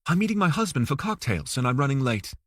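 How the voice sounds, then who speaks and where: A man's voice: medium pitch, very animated, quick pace, fairly bright tone, no echo, clean studio sound. A man voicing a formal, direct, heavyset woman lawyer in an audiobook. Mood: businesslike. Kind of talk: audiobook character dialogue.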